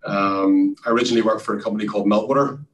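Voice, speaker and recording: Irish man, low voice, distant mic. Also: racing. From Ireland. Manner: relaxed, chatty, frequent fillers. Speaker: guest, sales executive. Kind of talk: casual interview chat.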